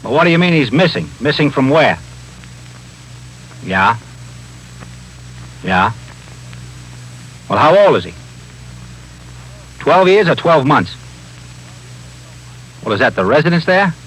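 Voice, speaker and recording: Brusque, animated desk sergeant. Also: male voice, medium pitch, slow, pauses often. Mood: urgent, businesslike. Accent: American. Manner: curt, questioning. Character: brusque desk sergeant taking emergency phone calls. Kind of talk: 1950s radio drama acting. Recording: faintly noisy 1950s radio recording.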